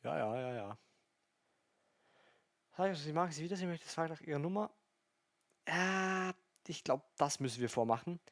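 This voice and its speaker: A man's voice: on the high side, animated, moderate pace, pauses often, fairly bright tone, no echo. A man making casual, mocking commentary while playing a video game. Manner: mocking, chatty. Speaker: let's player.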